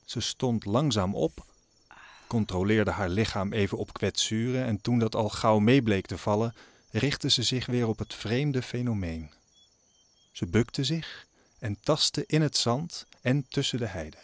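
A man's voice: fairly low pitch, unhurried, dry recording. A man narrating an audio drama as a scripted, dramatic story. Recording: music under the narration.

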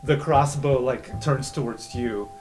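A man's voice: mid-range voice, measured pace. A man narrating an improvised fantasy tabletop game as game master. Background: background music.

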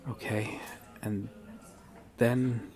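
A man playing an earnest, guarded police detective in an ASMR audio drama, speaking haltingly with long pauses. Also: on the low side, little pitch movement, a crowd in the background. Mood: grave, methodical. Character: earnest, guarded male police detective questioning someone he cares for. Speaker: voice actor in an audio roleplay. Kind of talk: ASMR roleplay drama, acted one-sided dialogue.

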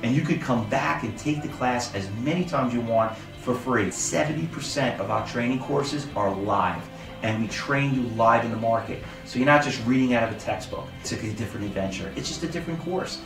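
Earnest middle-voiced male presenter, persuasive pitch, roomy off-mic sound. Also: a little fast, recorded from a distance, music in the background. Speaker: trading school founder. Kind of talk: promotional testimonial talk. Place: hotel conference room.

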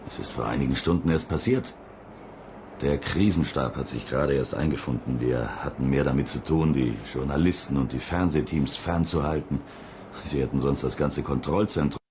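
Very low-voiced, domineering mission chief. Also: male voice, normal speed, a little mellow, a little hiss or hum. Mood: irritated, commanding. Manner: brusque, authoritarian. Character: gruff, domineering male space mission chief. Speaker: actor in a radio drama. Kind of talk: German radio play acting.

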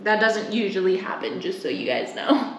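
A woman's voice: medium pitch, expressive, normal speed. A young adult woman giving a casual, step-by-step cooking demonstration in an informal, explanatory way while she works in a home kitchen. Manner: informal, explanatory, talking while working with her hands. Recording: roomy kitchen echo, clear background noise.

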